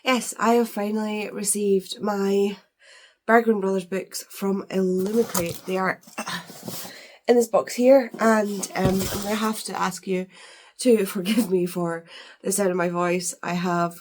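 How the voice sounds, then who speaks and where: Hoarse congested woman chatting. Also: medium pitch, fairly even intonation, a little slow, darkish tone. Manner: chatty, rambling with fillers and restarts. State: congested, losing her voice from a cold. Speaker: book vlogger. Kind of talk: casual unboxing chat.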